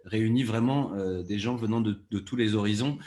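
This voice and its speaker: Male producer for panel talk. Lowish voice, hesitant, word-repeating delivery. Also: brisk, darkish tone. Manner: hesitant, repeats words while formulating. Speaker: podcast producer, panel guest. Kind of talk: panel discussion.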